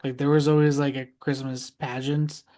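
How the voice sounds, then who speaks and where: A laid-back man talks on a podcast in a middle pitch. He swears casually and strings his thoughts together with ums and likes. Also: little pitch movement, normal speed, warm tone. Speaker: podcast co-host. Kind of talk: casual chat.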